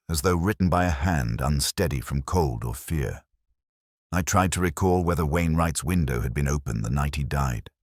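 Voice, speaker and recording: A man playing a world-weary older detective inspector, narrating a mystery audio drama in a measured, literary, brooding manner. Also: very deep voice, no room sound, studio quality, exaggerated voice.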